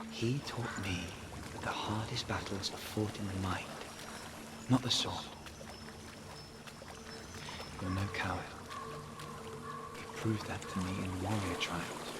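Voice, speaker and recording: Calm warrior guide in a video game, deep slow hushed voice, soothing, loud watery noise behind. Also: male voice. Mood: encouraging. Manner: slow, soothing, reassuring, instructing. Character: calm male warrior companion guiding a frightened young woman. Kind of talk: cinematic video game acting.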